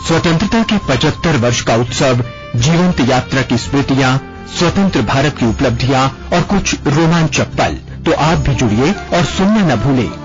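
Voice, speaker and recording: A man's voice: mid-range voice, animated, moderate pace. A man voicing a station promo with a polished, inviting announcer delivery. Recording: lo-fi radio promo with music.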